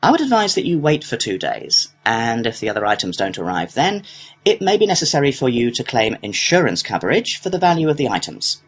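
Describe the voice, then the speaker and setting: A man's voice: mid-range voice, sing-song, a little fast, breathy edge. A man playing a courteous complaints clerk, reading scripted customer-service dialogue in a helpful, procedural way. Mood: courteous, businesslike. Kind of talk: scripted listening-test dialogue.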